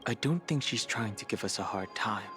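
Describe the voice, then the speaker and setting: A watchful, reserved young man played by an actor in a video game. His voice is fairly low, calm and measured. Faint music is behind him. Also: lightly acted. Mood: concerned.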